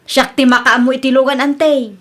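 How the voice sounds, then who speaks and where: A woman playing a brisk, self-assured young niece with an Ilocano accent in a Filipino radio comedy drama. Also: high voice, flat delivery, fairly bright tone.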